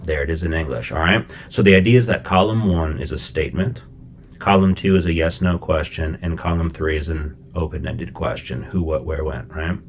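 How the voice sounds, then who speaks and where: A middle-aged man from Chicago explaining a language-learning method in a relaxed, conversational way, with plenty of fillers and check-ins. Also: very deep voice, normal speed, a little hiss or hum. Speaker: language teacher. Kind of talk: casual explanatory lesson.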